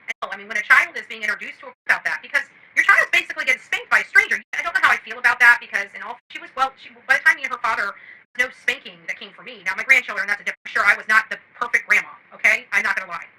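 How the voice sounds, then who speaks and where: Rapid, bright-voiced, blunt middle-aged woman. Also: fairly high pitch, lively intonation, poor audio. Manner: blunt, combative, salty-tongued. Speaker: host of an online channel. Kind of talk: unscripted commentary addressed to her audience.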